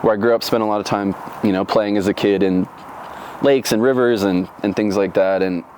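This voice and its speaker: A man's voice: on the low side, steady tone, brisk, darkish tone, a little hiss or hum, low-quality recording. A man from Florida in his thirties, telling his life story in an oral history interview, reflective and rambling with many fillers and restarts.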